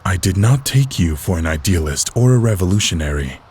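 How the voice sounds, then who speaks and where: Very deep, stern game antihero. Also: male voice, animated, a little fast, a little mellow, slight background noise. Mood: sceptical challenge. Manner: grave, blunt, dismissive. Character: stern, sceptical male antihero confronting a villain. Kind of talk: dramatic video game voice acting.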